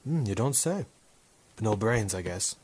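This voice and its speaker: Man acting a low-voiced, wry noir photographer-sleuth. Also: expressive, normal speed, heavy background noise, lightly acted. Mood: cocky, needling. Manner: dry, wisecracking, hard-boiled. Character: wry, cocky male war-photographer turned detective, noir narrator. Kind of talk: 1950s noir radio drama acting and narration.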